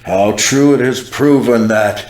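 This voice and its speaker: A man's voice: mid-range voice, a little mellow, lightly acted. A man playing a fervent mid-century evangelist recounting an apocalyptic vision in a solemn, dramatic monologue. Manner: solemn, slow, dramatic storytelling. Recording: roomy echoing voice over music. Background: music.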